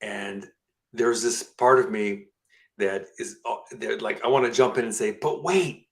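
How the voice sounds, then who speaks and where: A man in his sixties hosting an interview show, chatting casually and warmly affirming his guest with many "you know"s. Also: lowish voice, measured pace. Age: 60s. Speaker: host.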